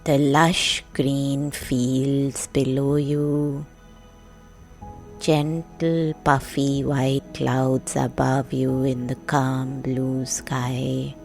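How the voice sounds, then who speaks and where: A woman narrating a guided sleep meditation in a gentle, hushed, soothing manner with long pauses. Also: very deep voice. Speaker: meditation guide, podcast host.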